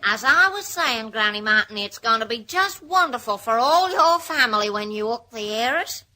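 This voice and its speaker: Bright, gushing Australian radio gossip. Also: female voice, on the high side, lively intonation, moderate pace. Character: gushing, money-minded female gossip. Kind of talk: old-time radio drama acting.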